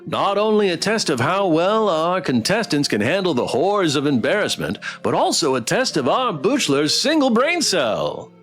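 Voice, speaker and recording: A man's voice: on the high side, sing-song, normal speed, hardly any pauses, a bit bright, faint music behind. A man voicing a showy, teasing host of a monster dating game show in a visual novel.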